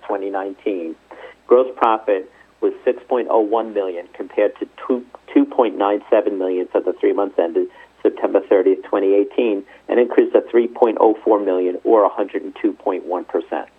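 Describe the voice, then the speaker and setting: A man's voice: on the low side, normal speed, husky. A man formally reading out a scripted financial report as a company executive, going through figures methodically. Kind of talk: scripted earnings report read aloud. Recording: muffled narrow phone conference line.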